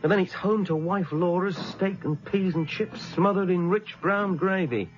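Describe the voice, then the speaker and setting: A man's voice: high voice, moderate pace, close to an everyday voice. Seeking a male actor for a dogged British police detective: terse, dryly humorous, radio play, muffled audio with music underneath. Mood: wry, grumbling. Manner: terse, blunt questioning with dry humour. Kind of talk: British radio drama acting.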